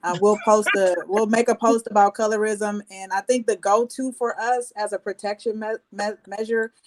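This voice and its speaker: A woman's voice: mid-range voice, steady tone, moderate pace, a little hiss or hum. A woman hosting a casual discussion, talking in a reflective, conversational way. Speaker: host.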